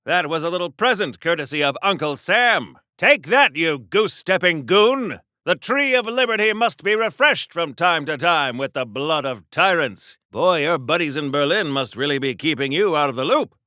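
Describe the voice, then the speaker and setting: An actor playing a naive, blustering 1940s patriotic American comic-book hero, with pompous, theatrical diction in an old radio serial style. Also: male voice, on the high side, expressive, normal speed, hardly any pauses, bright, forceful tone, no echo, clean studio sound. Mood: combative, blustering, then earnest, chatty. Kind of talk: golden-age radio serial style game acting.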